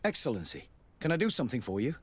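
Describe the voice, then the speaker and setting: Casting: wary game lieutenant, husky, lively intonation, formal and guarded, very dry sound. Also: male voice, medium pitch, fast talker. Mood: formal, curt. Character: guarded, wary male military officer, training lieutenant. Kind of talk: video game cutscene acting.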